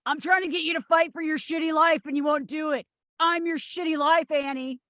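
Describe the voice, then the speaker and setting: A woman in a comedic script read, playing a blunt, pushy, oddball friend with swaggering confidence and emphatic, deadpan delivery. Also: high voice, a little fast, no room sound, clean studio sound. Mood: confrontational, goading. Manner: emphatic, forceful, deadpan boasting. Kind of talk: screenplay table read, comedic acting.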